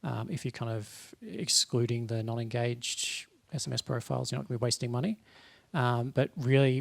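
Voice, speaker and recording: A man with a mid-range voice and a gravelly creak speaks as a guest on a panel. His delivery is casual and matter-of-fact, laying out practical explanations. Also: mid-range voice. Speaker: panelist, eCommerce marketer. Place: live event in front of an audience.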